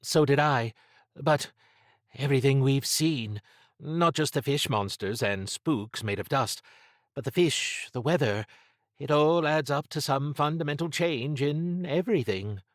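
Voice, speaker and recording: A man's voice: medium pitch, unhurried, no room sound, studio quality, voice pushed up, natural voice. A man voicing a wry, wary woman secret agent with clipped understatement in a noir audiobook. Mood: uneasy, confiding. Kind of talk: audiobook character dialogue.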